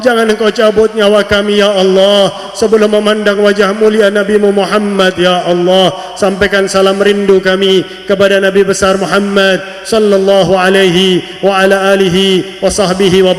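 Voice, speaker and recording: High-pitched pleading man in a reverberant hall. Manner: pleading, reverent, drawn-out repeated invocations. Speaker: Islamic preacher leading congregational prayer. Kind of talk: supplication prayer, partly chanted. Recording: echoey hall with long reverb.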